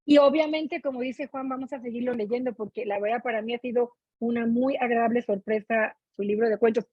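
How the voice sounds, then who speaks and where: A woman's voice: a little high, fairly even intonation, fairly quick, breathy edge. A middle-aged woman with a Mexican accent, chatting expressively about what she reads as a club participant, often checking for agreement. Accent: Mexican Spanish. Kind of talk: casual spoken book commentary. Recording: muffled, echoey online meeting audio.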